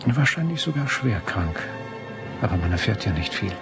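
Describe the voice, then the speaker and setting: Radio drama: rumour-monger, low creaky voice, confiding and clipped, over music. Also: male voice, big pitch swings, normal speed. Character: gossiping man sharing rumours about a tycoon. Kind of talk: German radio drama acting, 1980s. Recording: loud music behind the voice.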